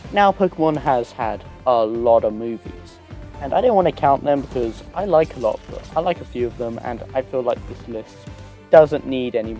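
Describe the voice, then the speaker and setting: A man with a medium-pitched voice presents a casual gaming countdown over prominent background music. His delivery is halting and unscripted, with repeated words and sentences that trail away. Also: dark tone. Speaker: host of a gaming video channel. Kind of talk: casual top-five list commentary, semi-improvised.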